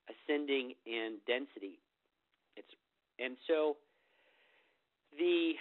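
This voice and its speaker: A man with a cold hosting a group phone call, talking loosely with many fillers and restarts before leading a meditation. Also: mid-range voice. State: getting over a cold. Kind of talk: informal spiritual talk before a meditation. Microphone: telephone. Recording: phone-line recording.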